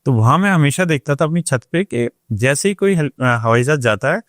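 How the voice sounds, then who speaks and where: A male interviewer with a North Indian accent and a slightly raised pitch, recorded very dry. He talks casually and inquisitively, restating points in his own words as questions. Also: animated, fairly quick, a bit bright, no room sound. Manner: inquisitive, rephrases answers and asks follow-up questions. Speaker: interviewer, host.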